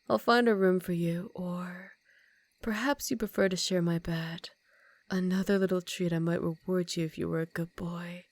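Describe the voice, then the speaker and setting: Low, whispery, seductive vampire countess, acted by a woman. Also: no echo. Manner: slow teasing, dominant purr, often dropping into whispers. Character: seductive, commanding female vampire countess, ancient and predatory. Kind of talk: ASMR roleplay, intimate and partly whispered.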